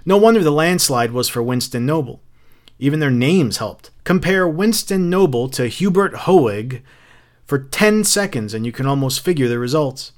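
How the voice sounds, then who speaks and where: Man as shallow society housewife, storybook figure, gossipy, prim, disdainful, higher pitch. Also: animated, moderate pace, close to an everyday voice. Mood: gossiping, scornful. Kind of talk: audiobook character acting.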